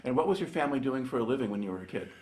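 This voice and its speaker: A man's voice: medium pitch, lively intonation, racing, a little mellow, a little hiss or hum. A man with an American accent conducting an informal oral history interview.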